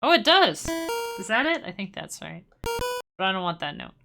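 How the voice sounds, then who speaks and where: A woman's voice: mid-range voice, big pitch swings, normal speed, gravelly. A young woman casually teaching music-making on a live stream, rambling and self-deprecating with playful asides.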